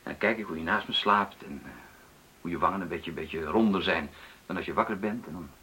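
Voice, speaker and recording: A middle-aged actor playing a conciliatory, halting husband of twenty years in a radio play. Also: male voice, low-pitched, pushed and bright. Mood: tender, hesitant. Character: placating, hesitant husband, twenty years married. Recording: noisy old radio play recording.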